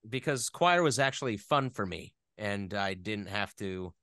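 A man's voice: fairly low pitch, expressive, unhurried, bright, forceful tone, no room sound, clean studio sound. A man speaking as an interview guest in a casual, thoughtful, slightly rambling way. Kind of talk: casual chat.

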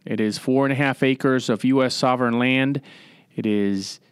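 A middle-aged man from Puerto Rico, a former Navy captain, giving measured, matter-of-fact answers as a podcast guest with frequent pauses and "uh" fillers. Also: medium pitch, steady tone, smooth, no room sound, studio quality. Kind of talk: conversational interview answers.

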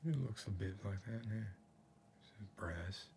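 A middle-aged man playing a stubborn, slightly dim and menacing backwoods tough in a soft-spoken ASMR roleplay, halting and understated. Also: on the low side. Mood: stubborn, defensive. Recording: clear background ambient noise.

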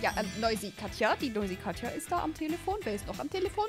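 A young woman in casual gameplay chat, excitable and repeating her phrases. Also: fairly high pitch, fast talker. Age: young adult. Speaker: player in a group game video. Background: music.

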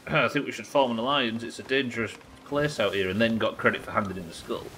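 A man's voice: mid-range voice, fast talker, fairly bright tone, slight background noise. A British man in his thirties giving casual, rambling, sweary game commentary and chatting to viewers from a small room. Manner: sweary, rambling, self-questioning. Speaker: live game streamer. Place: small indoor streaming room.

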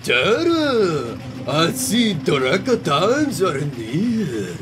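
A man voicing an ominous female seer professor in a slow, dramatic, prophetic way, as part of a comic reading. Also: a little high, huge pitch swings, noisy background, exaggerated voice. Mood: solemn. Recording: music playing underneath.

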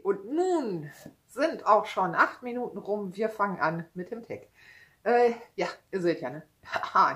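A woman's voice: medium pitch, breathy edge. A woman in her thirties casually chatting about her books at home, with many fillers and little tag questions. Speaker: book vlogger. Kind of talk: casual book chat. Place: home room with bookshelves, indoors.

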